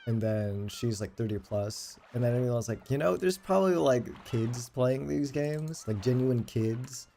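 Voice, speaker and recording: A man's voice: medium pitch, unhurried, vocal fry, mellow, a little hiss or hum. A man in his late twenties chatting casually on a livestream, rambling and self-deprecating with plenty of swearing. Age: late 20s. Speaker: game livestreamer.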